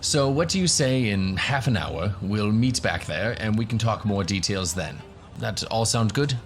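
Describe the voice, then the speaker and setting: A man's voice: fairly low pitch, lively intonation, moderate pace, close to an everyday voice. A man voicing a flustered, eager professor who rambles and fumbles politely in a radio-play tabletop game.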